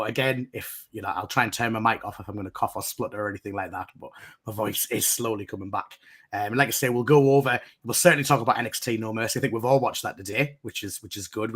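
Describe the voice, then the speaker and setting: A man hosting a wrestling podcast, his voice croaky from being unwell, rambling and restarting. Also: on the low side, fairly bright tone. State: croaky voice from recent illness. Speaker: podcast host. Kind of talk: casual podcast chat and news reading.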